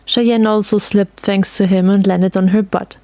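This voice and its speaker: A woman's voice: medium pitch, fairly even intonation, a little slow, a little mellow, no room sound. Woman narrating a story, dry close sound.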